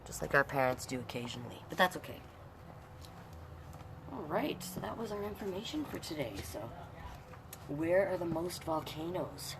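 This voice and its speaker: A woman's voice: low-pitched, animated, moderate pace, pauses often. A middle-aged woman hosting a children's storytime, reading aloud and chatting through the pictures for young viewers. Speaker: online storytime host and reader. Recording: clear background noise.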